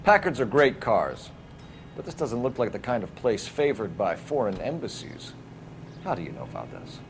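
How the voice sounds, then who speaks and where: An actor playing a brisk, authoritative 1940s American police detective in a crime game. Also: male voice, mid-range voice, very animated, a little hiss or hum. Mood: pressing, stern. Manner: clipped, official questioning. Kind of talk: dramatic game acting, period crime story.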